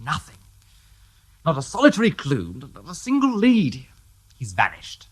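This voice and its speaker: An actor playing a weary, dry-witted police detective inspector in a radio drama. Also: male voice, fairly high pitch, wildly swooping pitch, measured pace, heavily acted. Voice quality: dry, understated, wry.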